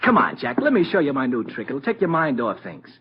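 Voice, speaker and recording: An actor playing a jittery vaudeville trick performer in an old radio drama, slangy, excitable and quick to protest. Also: male voice, medium pitch, husky. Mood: eager showman. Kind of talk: 1950s radio drama acting. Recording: muffled old radio recording.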